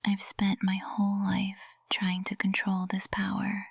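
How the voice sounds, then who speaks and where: A woman's voice: medium pitch, monotone, vocal fry, slightly rough, no room sound, exaggerated voice. A woman playing a lovestruck witch professor in an intimate ASMR roleplay, whispering tenderly and breathily, close to the microphone. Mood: tender, vulnerable. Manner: slow, tender, intimate delivery. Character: lovestruck high witch professor, usually cold and composed, tender.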